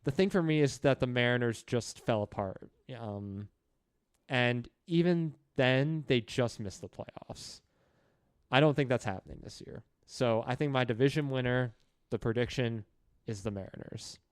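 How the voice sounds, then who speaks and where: A young man co-hosting a casual sports podcast, giving measured opinions. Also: medium pitch, clean tone, no echo, studio quality. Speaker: podcast co-host.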